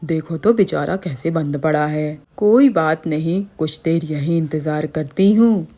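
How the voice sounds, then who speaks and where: A woman's voice: low voice, a little slow, warm tone. A woman voicing a heat-weary witch in a Hindi cartoon story, exclaiming and grumbling theatrically. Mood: dismayed grumbling. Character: heat-weary female witch, whiny, complaining, easily delighted. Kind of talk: Hindi cartoon horror-comedy acting.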